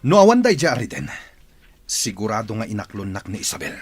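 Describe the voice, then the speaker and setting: An actor playing a rich, jealous, hot-tempered suitor in an Ilocano radio drama, with a husky voice. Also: male voice, on the low side, sing-song, brisk. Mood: angry, bitter.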